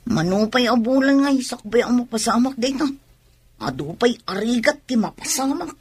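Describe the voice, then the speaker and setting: A woman's voice: a little high, expressive, normal speed, breathy edge. A woman playing an irritable, curt pregnant young wife in an Ilocano comedy radio drama, speaking with an Ilocano accent. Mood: weary complaining. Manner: grumbling, curt, complaining.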